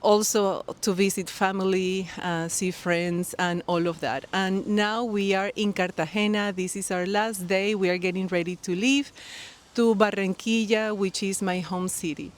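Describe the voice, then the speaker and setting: A knitting podcast host from Colombia with a Colombian accent, chatting casually to the camera outdoors by a pool, with friendly rambling talk full of fillers and restarts. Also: female voice, medium pitch, steady tone, measured pace. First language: Spanish. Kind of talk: casual vlog chat to camera. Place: outdoors by a pool near the beach. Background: birds and people nearby.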